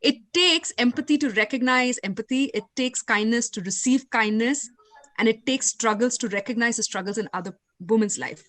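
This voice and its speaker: A woman's voice: on the high side, normal speed, a bit bright. A woman moderating a panel discussion, speaking with polished, emphatic phrasing.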